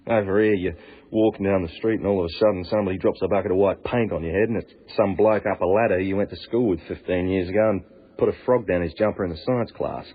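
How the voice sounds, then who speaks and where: An actor playing a blunt Australian tunnel night worker in a radio drama, griping in colloquial speech with a husky voice. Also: male voice, sits low, quick pace. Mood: wry griping. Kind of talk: naturalistic radio drama acting. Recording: narrow, lo-fi phone-like radio recording.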